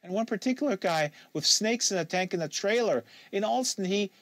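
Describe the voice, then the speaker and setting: A man voicing a depressed, anxious young woman confessing haltingly, as an audiobook character. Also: high-pitched, lively intonation, no room sound, pitched above the performer's own voice. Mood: rambling, agitated. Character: depressed anxious young woman, pot-addicted psychiatric patient.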